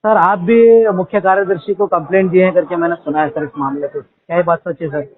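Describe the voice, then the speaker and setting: A man's voice: high voice, fairly even intonation, a little fast. A man, interviewing politely and deferentially as a news reporter over the telephone. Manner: polite, deferential, often murmurs agreement. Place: telephone call. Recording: tinny, narrow-band phone call.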